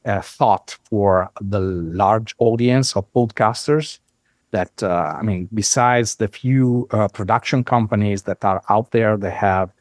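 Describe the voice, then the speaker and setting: Italian-accented man, low voice, measured. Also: darkish tone, no room sound, clean studio sound. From Italy. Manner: measured, with frequent "uh" fillers. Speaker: guest, software founder. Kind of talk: conversational explanation.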